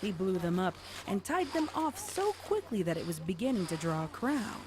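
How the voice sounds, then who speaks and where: A woman's voice: mid-range voice, very animated, moderate pace. A woman reading scripted story narration as the narrator of an audio tale.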